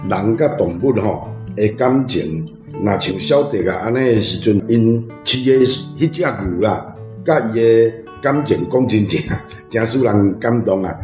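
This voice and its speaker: A man's voice: on the low side, animated, unhurried, darkish tone. A man with a Taiwanese accent sharing his thoughts on a story in a colloquial way, as a panel guest. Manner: colloquial, frequent tag particles. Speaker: panel guest, reading club member. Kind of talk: informal commentary on a story. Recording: roomy, faint music behind. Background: faint guitar music.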